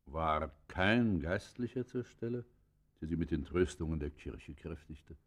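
Very deep, solemn confessor. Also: male voice, lively intonation, heavily acted. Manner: solemn, measured, formal. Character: grave, pious male confessor questioning a condemned criminal. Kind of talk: German radio play acting, formal dialogue.

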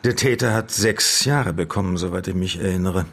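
A man playing a genial, seasoned star lawyer in a radio drama, husky and gravelly, with a jovial, avuncular manner. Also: deep voice, normal speed. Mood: matter-of-fact. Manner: jovial, avuncular, plain-spoken. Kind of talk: naturalistic German radio drama acting.

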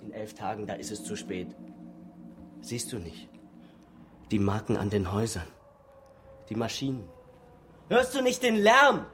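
An actor playing a blunt, earnest young man in a radio drama, with a low, husky voice. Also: sing-song, a little slow, pauses often, a bit bright, slight background noise. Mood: urgent, pleading. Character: blunt, urgent young man pleading with a woman.

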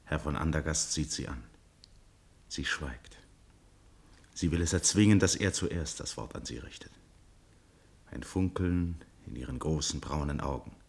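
An actor as the narrator of a radio drama, telling the story in a grave, literary, deliberate manner. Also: male voice, very deep voice, creaky, exaggerated voice. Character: grave, measured male storyteller.

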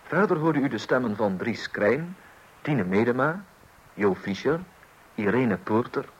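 Animated male radio announcer. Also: mid-range voice, measured pace, husky. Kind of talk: scripted closing credits of a radio play. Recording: faintly hissy old radio recording.

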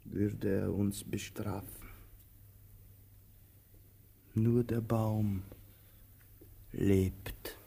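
An actor playing a weary, anxious male tramp in a slow theatrical radio drama, musing haltingly with long pauses and sudden urgency. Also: on the low side, little pitch movement, vocal fry, dark tone, slightly rough, exaggerated voice. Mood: resigned.

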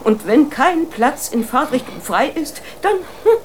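A shabby, practical queen in a children's radio drama. She speaks slowly in a fairly high voice, dry and deliberate, with faint noise behind. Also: big pitch swings. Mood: matter-of-fact. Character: matter-of-fact, hungry, shabby queen and mother. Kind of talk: German children's radio play acting.

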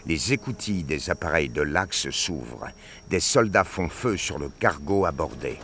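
Really deep guy narrating a scifi audio story, bit of background noise. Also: expressive, normal speed, fairly bright tone. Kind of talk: scripted narration of a science fiction audio drama.

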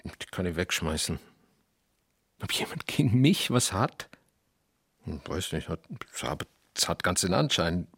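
A man playing an uneasy, suspicious town writer in a radio drama, speaking in a halting, questioning way. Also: low-pitched, very animated, creaky, fairly bright tone, husky, no room sound, clean studio sound, heavily acted. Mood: agitated, self-doubting. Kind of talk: naturalistic German radio drama acting.

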